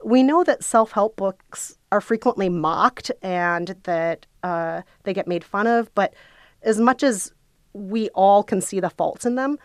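A woman's voice: mid-range voice, unhurried, no echo, studio quality. A woman podcast co-host chatting casually as an interview guest, frank and earnest. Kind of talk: casual chat.

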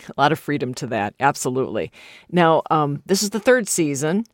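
A woman's voice: low-pitched, big pitch swings, fairly quick, dry recording, clean studio sound. A woman with an American accent, hosting a broadcast interview. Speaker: radio host interviewing a guest.

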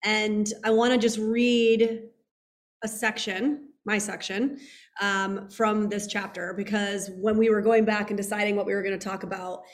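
A woman's voice: mid-range voice, little pitch movement, unhurried. A woman with a froggy, nasal voice who co-hosts the show, responding to callers and reading her own writing aloud. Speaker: podcast co-host leading a group call. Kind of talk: casual group discussion and reading aloud.

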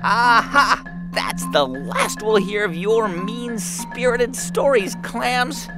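A woman's voice: a little high, slow pace. A woman voicing a triumphant, vengeful beaver in a radio play for children. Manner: triumphant, declarative. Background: mallet percussion music.

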